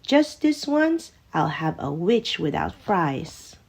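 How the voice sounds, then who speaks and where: A woman's voice: lowish voice, very animated, slow pace, a little mellow, breathy edge, full character voice. A woman voicing a mean, greedy dragon with dramatic, emphatic delivery in a storybook. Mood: gloating. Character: mean, greedy dragon, boastful then spluttering.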